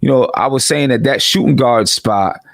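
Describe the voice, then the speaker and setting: A man talking as a podcast guest, thinking aloud in a measured way and often restarting his sentences. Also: mid-range voice, no echo, clean studio sound. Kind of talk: casual sports talk.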